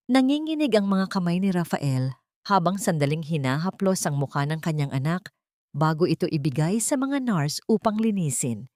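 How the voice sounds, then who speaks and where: A woman narrator reading a scripted dramatic audio story in a measured, theatrical storytelling way. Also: on the low side, darkish tone, no room sound, studio quality.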